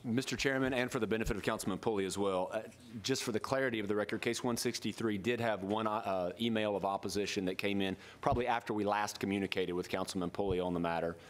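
A man's voice: lowish voice, fast, hardly any pauses. A middle-aged man speaking formally as a board official at a public meeting, reading procedure into a podium microphone. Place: indoor meeting room.

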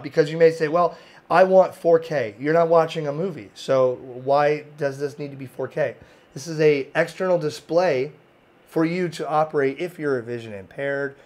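A man with a slightly hoarse voice giving a plain-spoken, informal product demonstration as a video host, recorded indoors on a handheld camera. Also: a little high, darkish tone. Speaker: amateur radio video host. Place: indoor home radio room. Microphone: handheld camera mic.

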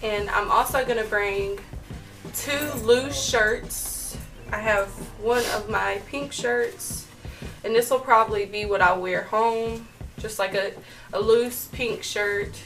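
A heavily pregnant young woman co-hosting a casual couple's vlog from a bedroom, talking in a soft, chatty way. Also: medium pitch, steady tone, slow, distant mic, soft background music, poor audio. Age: young adult. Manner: soft-spoken, chatty, with light laughs and fillers. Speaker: vlog co-host. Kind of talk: casual chat. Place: bedroom, indoors.

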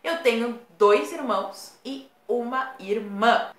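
A woman's voice: a little high, slow pace, roomy sound. A woman in her thirties with a Rio Grande do Sul Brazilian accent, chatting playfully and expressively to camera in a small room. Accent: Rio Grande do Sul Brazilian Portuguese. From born in São Paulo, raised in Rio Grande do Sul. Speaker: YouTuber. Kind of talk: casual vlog to camera. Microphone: camera at close range.

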